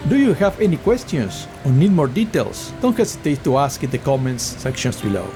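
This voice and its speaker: A man with an Argentine accent giving a measured, scripted voice-over for a travel vlog. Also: mid-range voice, big pitch swings. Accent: Argentine Spanish. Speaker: travel vlogger. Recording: voice-over with guitar music behind. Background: guitar music.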